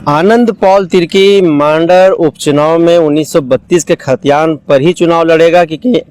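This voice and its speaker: A man's voice: a little high, normal speed, a little mellow, slight background noise. A man working as an interviewer, putting long, wordy questions that repeat and build on their phrases.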